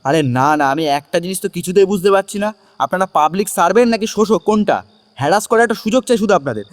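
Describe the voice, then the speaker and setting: A man's voice: high-pitched, expressive, quick pace, studio quality. A man playing an indignant, argumentative hothead in a Bengali audio drama. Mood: outraged. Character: hot-headed, indignant young man protesting to police. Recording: long reverberant hall echo.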